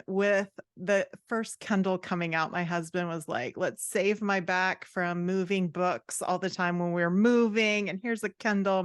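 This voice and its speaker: A woman's voice: medium pitch, a bit bright, smooth, no echo. Lady author being interviewed, chatty explainer style, mid voice, really dry recording. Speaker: guest author and writing coach. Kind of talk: conversational interview answers.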